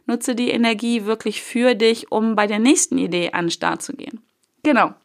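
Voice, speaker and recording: A woman's voice: on the high side, moderate pace, vocal fry. Woman with a cold, coaching casually. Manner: casual coaching tone with fillers and loose profanity. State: has a cold. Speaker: podcast host. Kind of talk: solo motivational podcast.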